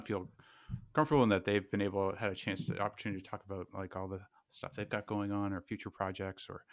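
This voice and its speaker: A man co-hosting a podcast interview, asking questions in a casual, rambling way. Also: fairly low pitch.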